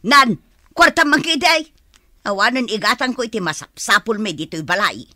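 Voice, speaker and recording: A woman's voice: on the high side, animated, moderate pace, sharp tone, no echo, studio quality. An actress playing a brash, demanding, gambling older mother and grandmother in a radio drama. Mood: demanding, dismissive.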